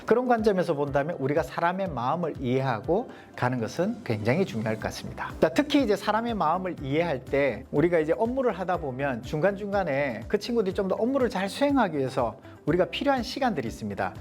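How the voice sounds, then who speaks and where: Animated male leadership coach. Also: fairly high pitch, fast talker, few pauses, faint music behind. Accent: Gyeongsang Korean, mostly softened. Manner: poses rhetorical questions, explanatory. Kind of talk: lecture to a small group.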